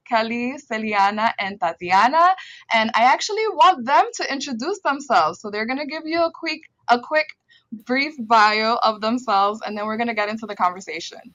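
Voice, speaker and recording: A woman's voice: fairly high pitch, normal speed, a bit bright, no room sound. A woman moderating an online panel in an upbeat way, often checking in with "right?". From Dominican-American, in New York. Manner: upbeat, repeats "right?" for emphasis. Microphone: video call. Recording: dull dry video call audio.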